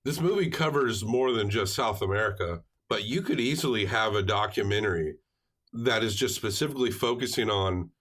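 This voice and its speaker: Male podcast co-host, lower register, conversational and explanatory in casual film talk. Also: fairly quick, a bit bright.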